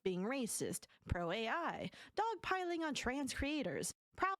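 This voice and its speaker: A woman's voice: sits high, sing-song, unhurried, no echo, clean studio sound. A woman narrating a scripted commentary video with indignant, emphatic delivery.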